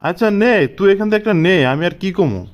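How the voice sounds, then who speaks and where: A man's voice: sits high, lively intonation, quick pace. A man voicing an easygoing, slick village shopkeeper in a comic cartoon, with chatty colloquial patter and a rural Bangladeshi accent. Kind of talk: comic Bengali cartoon dialogue.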